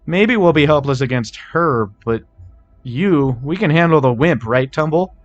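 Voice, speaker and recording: Cocky pony crook, acted by a man, raised pitch, scornful, storybook style. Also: expressive, measured pace, no room sound, dull audio, soft background music. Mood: mocking. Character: cocky male pony burglar, sneering at smaller foes.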